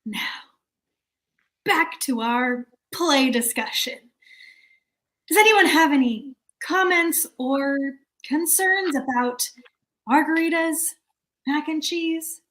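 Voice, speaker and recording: A woman's voice: high voice, animated, takes it slowly, pauses often, fairly bright tone, full character voice. A woman playing an exasperated, bossy chair of a small-town theater meeting, stern and flustered, in a staged reading. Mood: controlled impatience.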